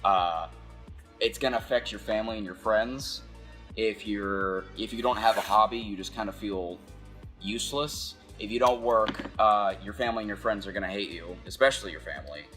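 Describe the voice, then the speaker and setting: A man giving casual, rambling philosophical commentary, halting and repeating phrases as he thinks aloud. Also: on the low side, fairly bright tone, soft background music. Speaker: video essayist.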